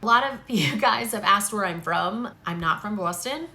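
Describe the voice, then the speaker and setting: Chatty, bright-voiced New woman. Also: medium pitch, lively intonation, moderate pace. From New York. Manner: chatty and candid, laughs often. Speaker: photographer and content creator. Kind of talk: casual Q&A to camera.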